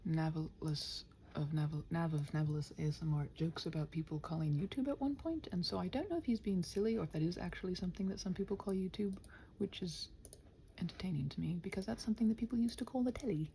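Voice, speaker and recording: Woman, playful and scattered ASMR creator, mellow with plenty of vocal fry, rambling casually and muttering while she works. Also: lowish voice, quick pace, slight background noise. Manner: playful, chatty, talks to her computer. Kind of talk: casual unscripted body-doubling ramble.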